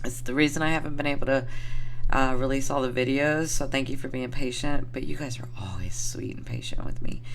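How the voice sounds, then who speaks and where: Hoarse woman doing a tarot reading, kinda rambly and sweet, lots of ums, bit of hiss behind her. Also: a bass voice, a little fast. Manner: affectionate, rambling, lots of fillers. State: hoarse, getting over the flu. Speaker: tarot reader.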